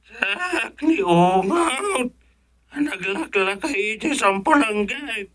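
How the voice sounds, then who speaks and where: A performer playing a cranky, bossy villager in an Ilocano radio comedy drama, scolding and shouting impatiently with an Ilocano accent. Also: mid-range voice, lively intonation, slow. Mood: furious.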